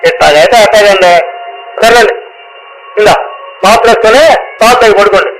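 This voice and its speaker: An actor playing a simple, devoted servant in a radio play, coaxing and deferential in rustic colloquial speech. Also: male voice, sits high, fairly quick, fairly bright tone, a little hiss or hum, rough recording.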